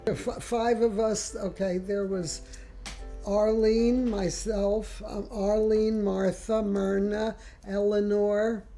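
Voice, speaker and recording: A woman's voice: medium pitch, flat delivery, slow pace, mellow, soft background music. An older woman, reminiscing casually in a plainspoken, wry way. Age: older, 70s or above. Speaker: interviewee, longtime mahjong player.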